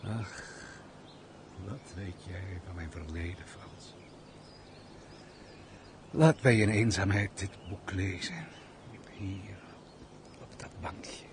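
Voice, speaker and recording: An actor playing a world-weary, reclusive gentleman master in a radio play, curt and commanding in manner. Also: low voice, fairly even intonation, slow, long pauses. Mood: weary, withdrawn. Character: brooding, reclusive misanthropic gentleman master with a hidden kindness. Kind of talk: theatrical Dutch radio play acting. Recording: faint background noise, old radio play.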